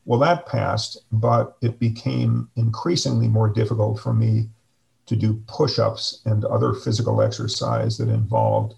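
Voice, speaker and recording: A middle-aged man speaking slowly and reflectively as a guest on a video call, with frequent fillers. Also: fairly low pitch, expressive, creaky, darkish tone, rough recording. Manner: slow, measured, hesitant with many fillers. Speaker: interview guest, district superintendent. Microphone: video call webcam.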